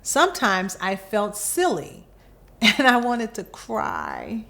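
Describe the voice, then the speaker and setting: A woman reading a children's picture book aloud, expressively and with deliberate pauses between phrases. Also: mid-range voice, huge pitch swings, vocal fry, pushed and bright. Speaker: volunteer storybook reader.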